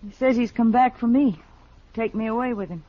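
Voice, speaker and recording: A woman's voice: on the high side, brisk, natural voice. An actress playing a polite, anxious young frontier woman in 1950s radio style. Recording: muffled old radio recording.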